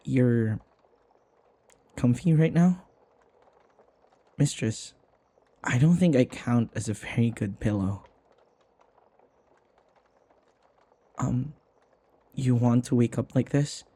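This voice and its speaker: A man playing a flustered, submissive servant in an intimate audio roleplay, hesitant and apologetic, stammering with a breathy voice. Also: mid-range voice, mellow. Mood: gentle, worried. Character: flustered, submissive young male servant devoted to his vampire mistress.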